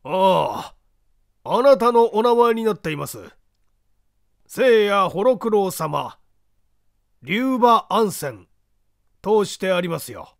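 A man reading an audiobook, voicing an excitable, polite gentleman with an exclamatory manner. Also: sits high, vocal fry, voice pushed up, exaggerated voice. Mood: curious, puzzled. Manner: exclamatory, mocking politeness, drawn-out guffaws. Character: excitable, polite Japanese gentleman, astonished then heartily amused.

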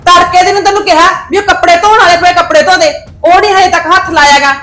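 Casting: a woman as a bossy scolding mother, high-voiced and sharp. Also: steady tone, quick pace, roomy sound. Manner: nagging, sharp, domineering. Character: scolding harsh mother of grown daughters. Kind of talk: short-film drama acting.

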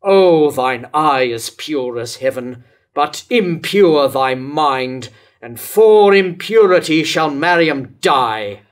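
An older man playing a jealous, wrathful, lovesick king in a staged reading of verse tragedy, declaiming with deliberate dramatic pauses. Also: fairly high pitch, animated. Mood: anguished, bitter. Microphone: microphone on stand at lectern.

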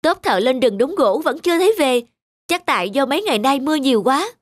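Eager high-voiced young apprentice. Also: female voice, animated, brisk, no room sound. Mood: deferential. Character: young male carpenter's apprentice, deferential, eager. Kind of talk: cartoon folk-tale dubbing. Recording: music behind the voice.

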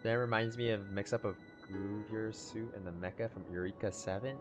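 A man's voice: fairly low pitch, fairly even intonation, fairly quick. A young man gushing casually and slangily as he reacts to a game and chats with viewers. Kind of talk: casual livestream reaction commentary. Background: game music.

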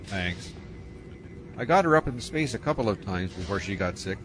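An actor playing a practical, profit-minded spaceship captain, talking in a casual, matter-of-fact way. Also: male voice, on the low side, expressive, moderate pace, background noise, lightly acted. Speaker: spaceship pilot and narrator of his own story. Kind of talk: radio drama acting. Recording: dialogue over music.

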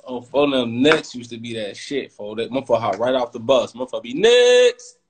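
A man's voice: mid-range voice, steady tone, fairly quick. Young man bantering over noisy livestream. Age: young adult. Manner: teasing, slangy. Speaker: host of a social media livestream. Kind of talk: casual slangy banter with live viewers and callers. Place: home kitchen, indoors. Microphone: phone at close range. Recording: noisy phone livestream with clicks.

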